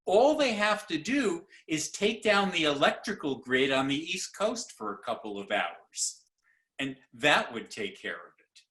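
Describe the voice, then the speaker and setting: A man moderating a panel over a video call, speaking haltingly with many fillers and restarts. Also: fairly high pitch, very animated, fairly bright tone.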